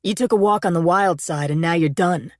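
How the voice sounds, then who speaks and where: A woman's voice: fairly low pitch, fairly quick, no echo, clean studio sound, lightly acted. A woman narrator voicing a possessive, volatile young man who talks in a sullen, accusing way.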